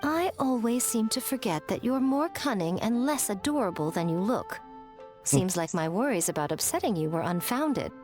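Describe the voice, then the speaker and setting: An actress voicing a sly, teasing fox-spirit shrine priestess in a video game, with an arch, playful delivery. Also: female voice, medium pitch, lively intonation, moderate pace, a little mellow, faint music behind, close to an everyday voice.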